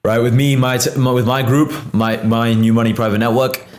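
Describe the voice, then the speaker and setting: A man's voice: mid-range voice, fairly even intonation, moderate pace. A man, a podcast guest, tired after a long flight, explaining his views persuasively. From United Kingdom. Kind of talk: casual podcast conversation. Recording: roomy echo.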